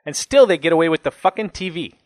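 Man acting wound-up angry young jailbird, pitched high. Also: lively intonation, moderate pace, fairly bright tone, dry recording. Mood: outraged ranting. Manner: agitated, ranting, heavy swearing. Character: angry, foul-mouthed 29-year-old jailed man, wiry, wound-up.

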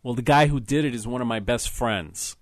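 A man's voice: medium pitch, a little fast, dry recording, natural voice. A man voicing a blunt, wry friend who speaks dryly and plainly. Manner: dry, blunt.

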